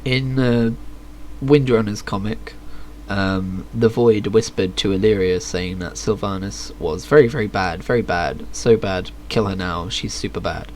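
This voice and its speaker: Fairly low-voiced, dry man streaming a game. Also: unhurried, a little mellow. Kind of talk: live game stream commentary, reading quest text aloud. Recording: faint background noise. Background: faint game ambience.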